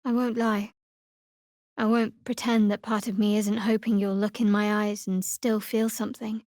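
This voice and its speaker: Lady voicing a secretive dark cleric girl from a video game, dry and guarded, super close clean sound. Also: medium pitch, flat delivery, normal speed, dry recording, close to an everyday voice. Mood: somber, confiding. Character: guarded young female cleric of a dark goddess, wry, secretive.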